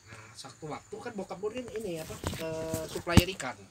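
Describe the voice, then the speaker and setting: A man's voice: fairly high pitch, sing-song, moderate pace, very noisy. A young man from Indonesia chatting casually, telling a long story with restarts, fillers and frequent laughs. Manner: laughs often, tells stories with restarts and fillers. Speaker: guest. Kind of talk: casual chat.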